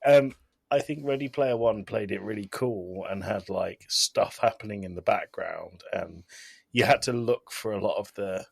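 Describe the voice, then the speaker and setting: A man with a fairly low voice, recorded close and dry with little room sound. He co-hosts a film podcast and shares opinions in loose, informal chat. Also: normal speed, gravelly, breathy edge, dry recording. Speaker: podcast co-host.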